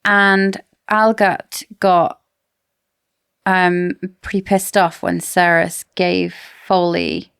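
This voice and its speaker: A woman's voice: fairly low pitch, lively intonation, very slow, gravelly, fairly bright tone, clear, resonant tone. A woman co-hosting a podcast, chatting casually about books. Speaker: podcast co-host. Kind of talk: casual book discussion chat.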